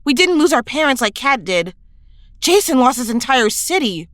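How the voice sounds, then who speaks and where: A woman's voice: high voice, big pitch swings, normal speed, a bit bright, dry recording, clean studio sound. A woman acting an earnest, idealistic young superhero in an audio drama, reflective and sincere. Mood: earnest, pleading.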